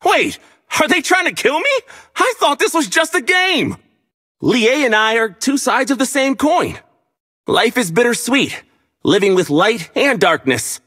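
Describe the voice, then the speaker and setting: A man's voice: very high-pitched, sing-song, a little slow, sharp tone, husky, exaggerated voice. A man voicing a young, earnest, cocky martial-arts fighter in a video game, with a spirited, defiant, punchy delivery. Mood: earnest, determined. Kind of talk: video game character voice lines.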